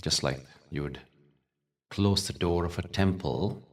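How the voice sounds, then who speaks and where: A man leading a guided meditation as a teacher, speaking gently in short phrases with long pauses. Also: low-pitched, distant mic. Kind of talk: guided meditation with spiritual talk.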